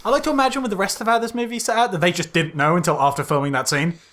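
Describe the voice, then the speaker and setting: A man co-hosting a podcast, casually critiquing a film in a halting way, repeating words as he goes. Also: high-pitched, steady tone, a bit bright. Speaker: podcast co-host.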